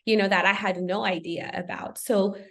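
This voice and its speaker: A woman's voice: medium pitch, fairly even intonation, moderate pace, fairly bright tone. Earnest woman from Ethiopia, thoughtful. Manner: thoughtful, earnest, with frequent fillers. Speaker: guest. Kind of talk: podcast conversation.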